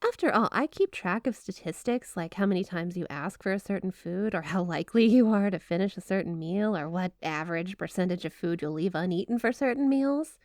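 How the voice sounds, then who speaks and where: A woman's voice: fairly low pitch, expressive, brisk, few pauses, darkish tone, no room sound. Woman acting a gushing, obsessive snow spirit wife, close-up. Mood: doting, coy. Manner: gushing, coy, playfully suggestive. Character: flirty, obsessive yandere snow spirit wife, sweetly doting. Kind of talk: ASMR roleplay addressed to the listener.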